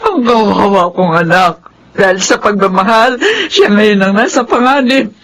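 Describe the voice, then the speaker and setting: A woman's voice: medium pitch, normal speed, fairly bright tone. Tearful, anguished older mother. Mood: sorrowful. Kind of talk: Filipino radio drama acting.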